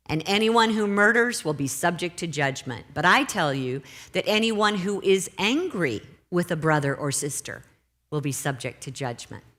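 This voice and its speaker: Low-voiced woman minister, gentle sermon. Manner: gentle, unhurried, slows for emphasis. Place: church sanctuary.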